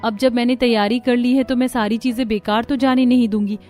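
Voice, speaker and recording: A woman's voice: a little high, steady tone, brisk, dark tone, soft background music, lightly acted. A woman voicing a chatty, self-assured young daughter-in-law in a Hindi cartoon family story, speaking expressively. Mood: insistent.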